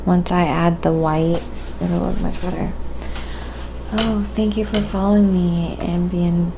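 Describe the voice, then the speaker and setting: A soft-spoken woman chatting gently and unhurriedly on a quiet ASMR painting livestream, with a creaky, sometimes breathy voice. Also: on the low side, fairly even intonation, measured pace. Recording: clearly audible background noise.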